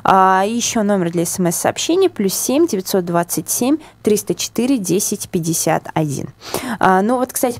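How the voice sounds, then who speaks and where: A woman hosting a radio talk show, lively, putting questions to listeners and reading out phone numbers from a studio. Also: mid-range voice. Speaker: radio host. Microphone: studio microphone.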